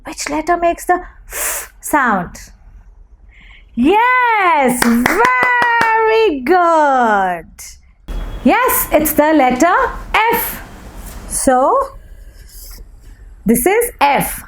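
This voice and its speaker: Lady teacher, super high voice, talks really slow, cheering little kids on thru letter sounds. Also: noisy background. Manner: animated, encouraging, deliberate enunciation with repeated call-and-response questions. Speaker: phonics teacher for young children. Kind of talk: children's phonics lesson, prompting and praising.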